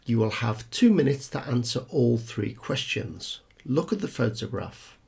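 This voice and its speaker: Animated male exam tutor, slightly gravelly. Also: mid-range voice, measured pace. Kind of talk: scripted instructional narration.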